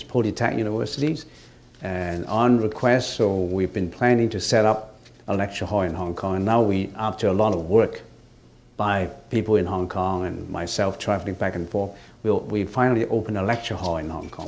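Low-voiced teacher. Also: male voice, vocal fry, a little mellow, a little hiss or hum. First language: Cantonese. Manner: halting, many fillers and restarts, rising emphatically on key points. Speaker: Buddhist teacher. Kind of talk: dharma talk answering questions.

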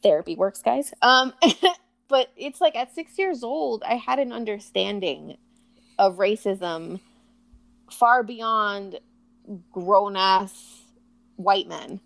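A woman from Puerto Rico in casual chat, blunt and quick to swear and laugh. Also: medium pitch, expressive, creaky, no echo.

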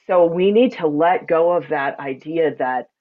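A woman speaks in a deep, resonant voice with plenty of body. She is reflective and emphatic, explaining things earnestly as in a conversational interview. The audio is somewhat dull and lo-fi. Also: measured pace. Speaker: podcast guest, spiritual sound and ceremony practitioner.

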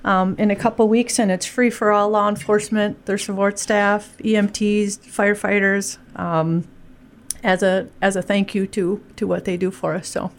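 A woman's voice: medium pitch, normal speed, slight background noise. A woman organizing a community event, speaking earnestly in a casual interview. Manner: earnest, steady, promotional.

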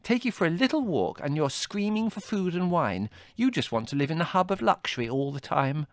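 A man plays a young woman in a storybook, pitched high. She is bossy and easily irritated, brushing off complaints with brisk scorn. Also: animated, dry recording, clean studio sound, close to an everyday voice. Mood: scornful. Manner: brisk, scolding, dismissive.

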